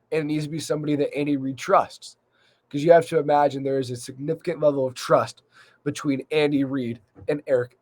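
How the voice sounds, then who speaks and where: Man, fairly high voice, sports podcast host, measured conversational commentary, emphatic on key points, slightly under the weather with a cold. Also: a little mellow. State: slight cold, losing his voice a little. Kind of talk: solo sports recap commentary.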